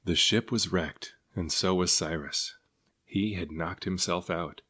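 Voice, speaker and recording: A man's voice: low voice, a little slow, pushed and bright, breathy edge. A man reading a children's storybook aloud as narrator. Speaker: storybook reader.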